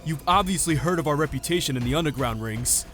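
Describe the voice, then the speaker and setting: A man's voice: fairly high pitch, animated, quick pace, fairly bright tone. A man playing a proud, irritable teenage clan leader in a visual novel, commanding and sarcastic. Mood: scornful. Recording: music behind the voice. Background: music.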